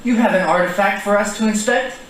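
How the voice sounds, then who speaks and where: A man acting a courteous, inquisitive professor with a high male voice, in radio-drama style. He sounds distant in an echoey space with faint noise. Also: brisk, fairly bright tone. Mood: genial, polite.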